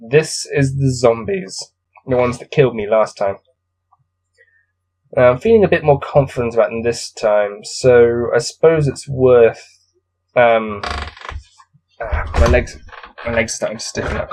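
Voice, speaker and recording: A man with a mid-pitched voice hosts a solo gamebook read-through. He reads the text aloud and muses over it in a casual, self-deprecating way. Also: a little mellow, low-quality recording. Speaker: gamebook let's-play host.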